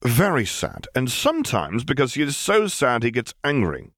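A man's voice: medium pitch, wildly swooping pitch, moderate pace, a bit bright, dry recording, clean studio sound, pitched above the performer's own voice, full character voice. A man voicing a stubborn, flustered military officer with a stiffly polite, sputtering manner in a story reading.

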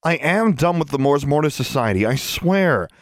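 A man's voice: medium pitch, sing-song, fairly quick, dry recording, studio quality, close to an everyday voice. Man as a firm, earnest teenage girl witch. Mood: earnest, determined. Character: determined, secretive, loyal teenage girl witch.

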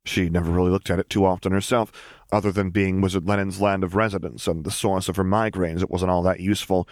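A male narrator reads a story in an even, measured way. His voice is very low, and the recording is dry and close. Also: no room sound. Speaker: audiobook reader. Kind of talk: audiobook narration.

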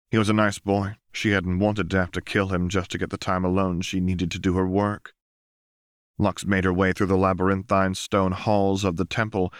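Low-voiced man narrating fiction. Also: smooth, no room sound, studio quality. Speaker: audiobook narrator.

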